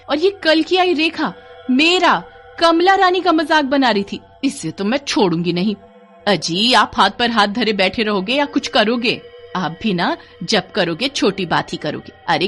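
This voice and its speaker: Woman doing spiteful village wife for a cartoon, high voice, rustic eastern hindi accent, scolding and exclaiming, music behind. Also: fairly bright tone, natural voice. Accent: rustic eastern Hindi village dialect. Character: spiteful, jealous village wife, hot-tempered schemer.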